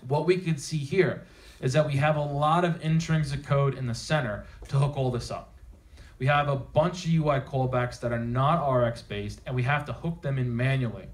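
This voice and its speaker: A man's voice: medium pitch, normal speed. A man presenting a technical talk to a live audience, speaking informally and emphatically with rhetorical questions. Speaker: conference speaker. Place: theater at a developer meetup.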